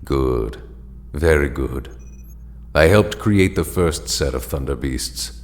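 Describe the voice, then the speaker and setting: A man playing a solemn, formal ancient ally in an audio drama, speaking in a measured, deliberate way. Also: very deep voice, lively intonation, in a large hall, exaggerated voice.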